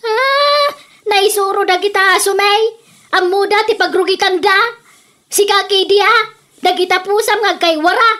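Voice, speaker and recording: A woman's voice: very high voice, a little slow, a bit bright. A woman with an Ilocano accent playing a nosy, quarrelsome middle-aged villager in a comedic radio drama, teasing and bickering animatedly. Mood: scornful, bickering.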